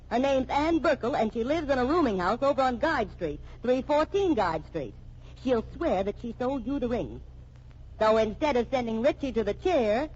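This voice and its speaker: An actress playing a shrewd, sardonic female investigator in a mystery radio drama, with pointed, wry delivery. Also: on the high side. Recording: dull, band-limited old radio recording.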